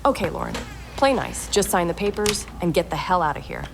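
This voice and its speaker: A woman's voice: fairly low pitch, a little fast, background noise, lightly acted. A woman playing a wry, suspicious, impatient heiress in an audio drama, with a dry, sardonic and guarded delivery. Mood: wary muttering.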